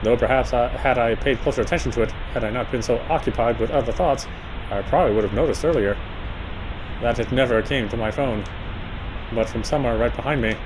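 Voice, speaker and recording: A man's voice: medium pitch, fairly quick, noisy background. A man reading a visual novel aloud as dramatic first-person narration, now and then stumbling over the text. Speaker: player reading a game aloud.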